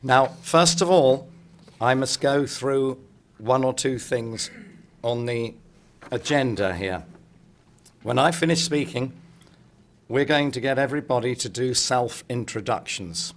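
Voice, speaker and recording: A middle-aged man with a croaky, hoarse voice opening a conference in a hotel meeting room, chatty and joking with the audience. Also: mid-range voice, unhurried, pauses often. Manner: chatty, jokey, addresses the room directly. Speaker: speaker opening a political congress.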